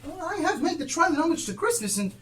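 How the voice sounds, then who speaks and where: A woman's voice: very high voice, sing-song, quick pace, a bit bright, exaggerated voice. A woman playing a cheerful, good-hearted young nephew on stage, earnest and exclamatory. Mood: pleading, friendly. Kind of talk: theatrical stage acting. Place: theatre stage, live performance. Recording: distant live stage recording with noise.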